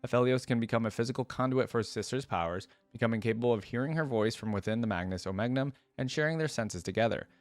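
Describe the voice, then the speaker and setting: A man reading lore aloud for a reaction video, dropping in casual, curious asides as he thinks out loud. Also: medium pitch, quick pace, hardly any pauses, no echo.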